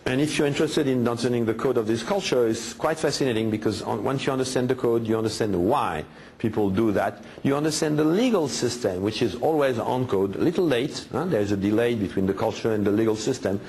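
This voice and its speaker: A man's voice: mid-range voice, expressive, fairly quick, a little mellow, slight background noise. A man speaking in a lecture style, making emphatic points and often asking rhetorical questions. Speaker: guest speaker in a played clip.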